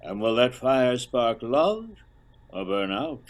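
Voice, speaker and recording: An actor playing a theatrical announcer of an old-time eerie radio show, with a dramatic, suggestive delivery. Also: male voice, medium pitch, sing-song, slow. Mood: ominous, theatrical.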